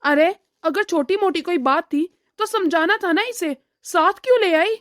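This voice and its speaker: Woman as a cartoon family man, very high voice, admonishing, weary, dry close sound. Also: moderate pace, fairly bright tone, dry recording. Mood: reproachful. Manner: admonishing, lecturing. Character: weary, reproachful middle-aged father and husband, moralizing. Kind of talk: animated family drama dialogue.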